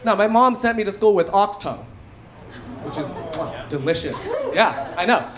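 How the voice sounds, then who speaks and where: A man's voice: high-pitched, animated, moderate pace, crowd noise. A man in his thirties from Canada doing a stand-up set into a handheld mic on a theatre stage, punchy with dry asides. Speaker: stand-up comedian. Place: theatre stage before a live audience. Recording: live club recording with audience noise. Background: audience laughter.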